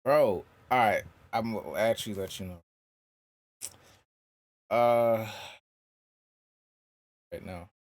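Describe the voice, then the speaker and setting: A young man with a deep voice, chatting casually about making his music and saying "bro" a lot. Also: slow pace, long pauses, dry recording. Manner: loose slangy talk, says "bro" a lot. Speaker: rapper and singer.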